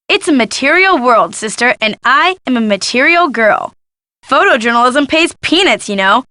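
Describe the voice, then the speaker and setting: A sassy young American schemer in a video game. The voice is high and bright, very dry and close, with a bratty, slangy, taunting edge. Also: female voice, no echo. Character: bratty young female photojournalist, scheming greedy diamond thief. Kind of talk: video game character acting.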